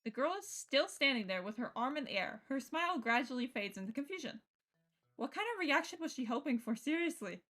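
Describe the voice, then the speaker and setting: Female game streamer, slightly high lively voice, reads narration aloud with casual commentary. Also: fairly quick. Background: game music.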